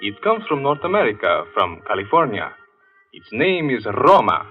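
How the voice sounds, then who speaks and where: Actor as a courtly, animated radio gentleman. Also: medium pitch, moderate pace, natural voice. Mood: genial, celebratory. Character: courtly, cheerful gentleman proposing a toast. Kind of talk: 1940s radio commercial acting. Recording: dull vintage radio, loud music behind.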